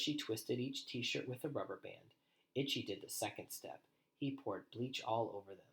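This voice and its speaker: A man reads a storybook aloud in an animated, deliberate way, as if for young children. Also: medium pitch, rough recording. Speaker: storybook reader. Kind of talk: expressive children's read-aloud with quieter asides.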